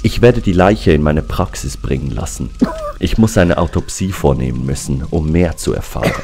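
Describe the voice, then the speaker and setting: An actor playing a grave, matter-of-fact small-town doctor in a German horror radio play, measured and clinical. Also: male voice, very deep voice, expressive, noisy background. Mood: grave, puzzled. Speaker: actor in an audio drama.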